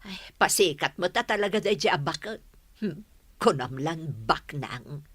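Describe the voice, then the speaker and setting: A woman as a sharp-voiced, chatty Ilocano village neighbour. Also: low-pitched, lively intonation, measured pace, husky, no echo, heavily acted. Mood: gossipy, scornful. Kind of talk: Ilocano radio comedy drama acting.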